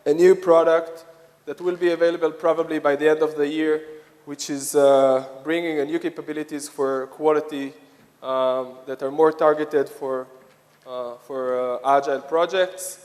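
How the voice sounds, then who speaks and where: Long hall reverb surrounds a man with an Israeli accent and a somewhat high-set voice. He is presenting to a conference audience. His delivery is halting, with many hesitations and phrases begun again. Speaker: conference speaker, software product lead.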